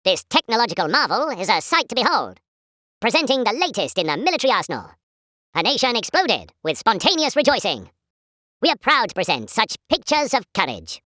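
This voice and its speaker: A woman's voice: medium pitch, bright, forceful tone, dry recording, studio quality. A woman playing a pompous, chipper, patriotic British newsreel announcer in 1940s style, speaking formal theatrical commentary for a game.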